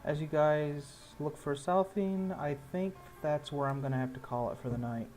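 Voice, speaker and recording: Guy game mastering, casual, mellow and a bit high for a man. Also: normal speed, soft background music. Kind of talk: casual tabletop game narration.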